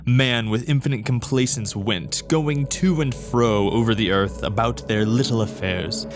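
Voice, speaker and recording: A solemn male narrator, played by an actor, tells an invasion tale for a radio drama. He is measured and portentous, stretching key words. Music plays clearly underneath him. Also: mid-range voice, close to an everyday voice.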